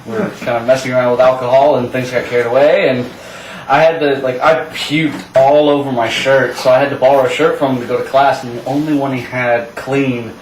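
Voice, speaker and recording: A man's voice: mid-range voice, fairly even intonation, brisk. A young adult man telling rambling, jokey stories casually among friends. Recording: echoey, noisy room, far from mic.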